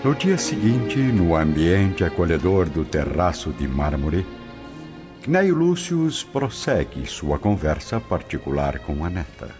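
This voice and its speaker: A man's voice: deep voice, big pitch swings, takes it slowly, exaggerated voice. A man narrating a radio drama in a theatrical, scripted style. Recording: dull lo-fi audio with music behind.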